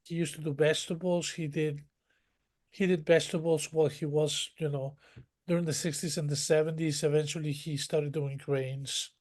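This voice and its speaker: A man's voice: fairly high pitch, flat delivery, moderate pace, darkish tone. Man telling a rambling story. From northern Mexico. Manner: rambling, detailed storytelling with many restarts. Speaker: guest. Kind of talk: conversational interview.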